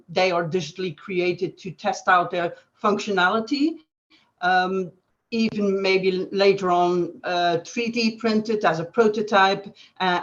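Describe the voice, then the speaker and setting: A woman from Belgium with a Flemish accent, explaining things as an interview guest in halting phrases with frequent pauses. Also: fairly low pitch. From Belgium, Flanders. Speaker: guest digital twin consultant. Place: online webcast. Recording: dull, band-limited web call audio.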